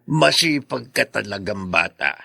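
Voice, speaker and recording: An actor playing a kindly, wise older man with a measured, fatherly manner in a Filipino radio drama, with a Filipino accent. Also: fairly low pitch, expressive, creaky, sharp tone, husky, no room sound, clean studio sound, exaggerated voice. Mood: kindly, encouraging.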